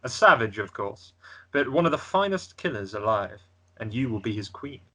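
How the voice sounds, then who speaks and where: A man's voice: medium pitch, very animated, normal speed, bright, forceful tone. A man playing an ambitious, scornful exiled prince in an amateur script table read, speaking with arrogant disdain. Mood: scornful, calculating.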